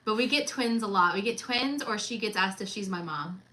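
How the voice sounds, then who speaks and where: Bright, chatty woman in her thirties. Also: mid-range voice, animated, moderate pace. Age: 30s. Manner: chatty, teasing, laughs often. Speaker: co-host of a cruise livestream. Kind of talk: casual livestream chat.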